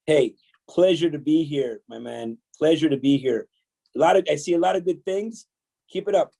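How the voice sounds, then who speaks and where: A man from El Salvador, in his fifties, shares his past as an interview guest. His voice sits a bit high but sounds dark and mellow. He talks casually, chuckles often and restarts his sentences. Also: moderate pace, creaky. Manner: relaxed, chuckling, many fillers and restarts. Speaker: podcast guest. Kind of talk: storytelling interview.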